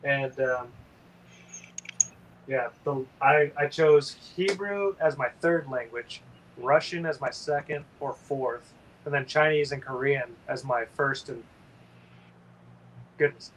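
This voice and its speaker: A man's voice: mid-range voice, slow pace, long pauses, slight background noise, poor audio. A man hosting a casual painting livestream, rambling and sleepy with lots of ums.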